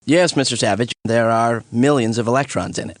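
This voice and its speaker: A man's voice: medium pitch, a little fast, no room sound, studio quality, natural voice. A man voicing a laid-back, jokey science professor in a cartoon, explaining things in a breezy, casual way. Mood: patient explaining. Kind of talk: animated educational courtroom dialogue.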